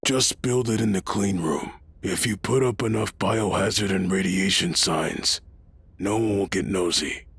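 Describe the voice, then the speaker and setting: A man playing a weary, stubborn archbishop in an audio drama, speaking in a dry, deliberate way with a gravelly, husky voice. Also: low voice, fairly bright tone, dry recording, full character voice. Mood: resigned, deadpan. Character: weary, stubborn male archbishop leading a secret mech project.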